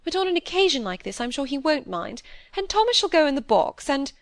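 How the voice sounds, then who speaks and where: A woman's voice: high-pitched, big pitch swings, a little fast, no room sound, clean studio sound, voice pushed up. A woman voicing an affected, self-pitying middle-aged genteel mother in an audiobook, plaintive and ostentatiously sentimental. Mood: eagerly scheming.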